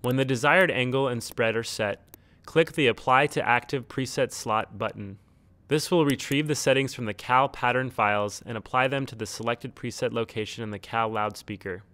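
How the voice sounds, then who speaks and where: A man reading a scripted instructional tutorial in a measured, neutral, step-by-step way. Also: medium pitch, bright, forceful tone, no room sound. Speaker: tutorial narrator. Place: close, dry studio-style voice-over recording.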